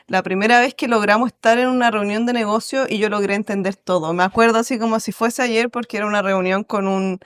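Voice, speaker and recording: A woman with a Chilean accent, a podcast guest telling stories of moving abroad in a lively, laughing way. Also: medium pitch, fast talker, hardly any pauses, clear, resonant tone. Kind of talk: casual storytelling interview.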